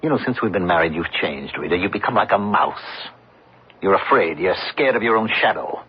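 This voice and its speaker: A man playing an impatient, cold-blooded, domineering middle-aged businessman husband in a radio drama, with a rough, husky voice. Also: low-pitched, animated, brisk. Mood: scornful, impatient. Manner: domineering, dismissive, forceful phrasing. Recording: dull lo-fi radio broadcast recording.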